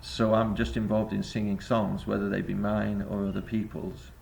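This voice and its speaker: Male vlogger, low and heavily gravelly, rambling reflective delivery full of hesitations. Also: level tone, moderate pace, a little mellow, a little hiss or hum. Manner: rambling, hesitant, many filler sounds. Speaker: vlogger and busking singer. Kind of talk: reflective spoken essay to camera.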